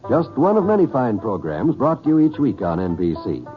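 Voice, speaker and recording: A man's voice: mid-range voice, huge pitch swings, a little fast. A man announcing in dramatic 1950s network radio style. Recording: muffled old radio broadcast over music.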